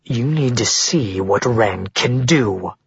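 A man's voice: medium pitch, animated, takes it slowly, breathy edge, no room sound, dull audio, heavily acted. A man voicing a stern, powerful father who speaks coldly and growls, in an audiobook reading. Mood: cold anger. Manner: cold and emotionless, growling.

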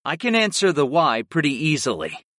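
Male fantasy audiobook hero, acted by a narrator: commanding, blunt, medium pitch, close dry sound. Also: huge pitch swings, moderate pace, a bit bright, dry recording. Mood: matter-of-fact. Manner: commanding, blunt, matter-of-fact.

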